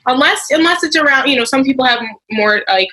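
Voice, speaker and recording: A woman's voice: high voice, lively intonation, quick pace, bright, forceful tone. A woman hosting an interview podcast, talking casually and emphatically in an informal, looping conversational way. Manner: emphatic, informal, frequent "like" and "you know".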